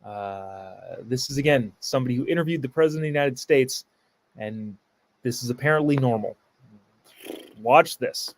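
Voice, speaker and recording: A man's voice: medium pitch, normal speed. A man hosting a live show, giving sarcastic commentary on a clip and reading viewer comments aloud.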